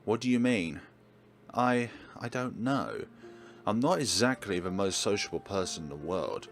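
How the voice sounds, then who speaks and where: A man voicing an awkward, earnest high school protagonist who speaks hesitantly in a visual novel. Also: animated, gravelly, faint music behind. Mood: anxious, earnest. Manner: hesitant, halting, stammering.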